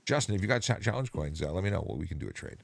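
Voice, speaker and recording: Very deep, gravelly man hosting a livestream. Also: expressive, no echo, clean studio sound. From Ontario. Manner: halting, with many restarts. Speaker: host of a police traffic Q&A livestream. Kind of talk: casual livestream chat.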